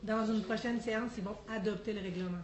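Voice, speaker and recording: A woman's voice: mid-range voice, fairly even intonation, quick pace, a loud crowd. A woman explaining meeting procedure in plain, informal terms. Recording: echoey room with faint noise.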